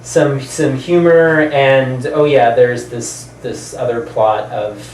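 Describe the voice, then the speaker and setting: A man co-hosting a casual discussion, arguing his opinions in a halting, conversational way and rephrasing as he goes. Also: medium pitch, steady tone, darkish tone. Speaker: podcast co-host. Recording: roomy, distant mic, background noise.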